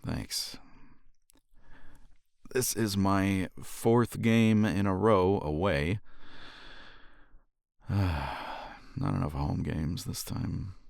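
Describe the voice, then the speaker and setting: A man's voice: low-pitched, gravelly, a little mellow, no room sound, clean studio sound, exaggerated voice. A man playing a cocky, weary champion competitor in an intimate romance ASMR roleplay, flirtatious and teasing, with languid sighs and murmured asides. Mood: relaxed, weary.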